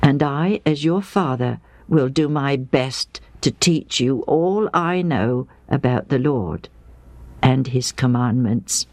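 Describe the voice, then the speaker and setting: A woman's voice: extremely low pitch, animated, slow pace, darkish tone, dry recording, full character voice. A woman playing a solemn devout father. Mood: earnest. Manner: solemn, earnest, repeats "and no mistake". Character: weary devout father, middle-aged man.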